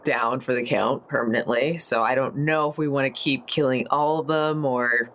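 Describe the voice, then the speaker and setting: A woman's voice: rumbling low, fairly even intonation, normal speed, fairly bright tone. A woman chatting casually as a player in a tabletop role-playing game. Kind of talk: casual chat.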